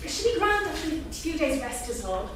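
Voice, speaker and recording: An actress playing a plain-spoken, reassuring household maid in a staged reading. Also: female voice, high voice, sing-song, moderate pace. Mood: polite, reassuring. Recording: echoey theatre, distant mic, noisy.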